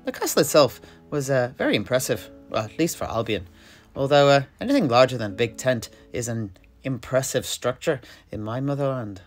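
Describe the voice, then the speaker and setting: Male storyteller, mid-pitched voice, conversational, measured, theatrical, in-character fantasy lore, soft guitar backing. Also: sing-song. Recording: music behind the voice. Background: plucked guitar music.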